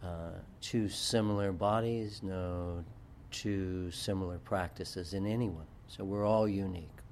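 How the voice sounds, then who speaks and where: A middle-aged man talks slowly and hesitantly in a very deep voice, with many pauses. A faint hum of background noise sits behind him. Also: fairly even intonation, darkish tone. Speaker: yoga studio owner. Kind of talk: interview answers.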